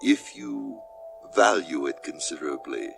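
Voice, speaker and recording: Sinister radio-play villain, bottomless pitch, swooping intonation, leering, mock-polite, menacing. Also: male voice, expressive, unhurried, vocal fry, a bit bright, faint music behind, heavily acted. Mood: mock-polite menace. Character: sinister green-faced alien villain, coolly menacing.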